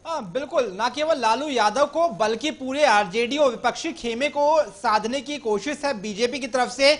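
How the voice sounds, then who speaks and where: A man's voice: very high-pitched, normal speed, a bit bright. A man giving live analysis as a news correspondent, explaining things directly to the listener.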